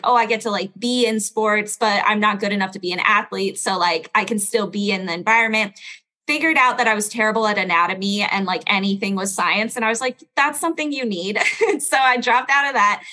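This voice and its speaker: A woman's voice: medium pitch, pushed and bright. A young woman born in Belgium with a standard American accent, telling her story as a guest in a casual interview, chatty, with lots of "like". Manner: chatty, lots of "like" and quick laughs.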